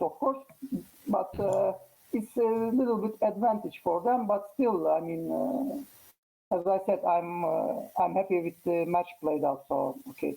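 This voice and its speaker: A man from Turkey, a Turkish native speaker, chatting casually as a guest after a chess match, halting and full of fillers. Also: high-pitched, creaky, mellow, poor audio. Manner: halting, many fillers and restarts. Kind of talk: casual post-match interview.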